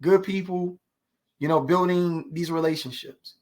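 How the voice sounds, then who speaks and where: A man with a notably high-pitched voice talks in a conversational interview. He tells stories loosely, punctuating them with rhetorical questions and small check-ins to make his point. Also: unhurried, darkish tone. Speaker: podcast guest, actor.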